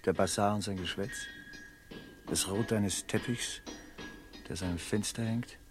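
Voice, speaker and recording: An actor playing a proud, love-struck merchant in a radio play, poetic, brooding and imperious. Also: male voice, on the low side, fairly even intonation, unhurried, music in the background, lightly acted. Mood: brooding, uneasy. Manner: impassioned, poetic, swinging from hushed to commanding. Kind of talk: German radio play acting.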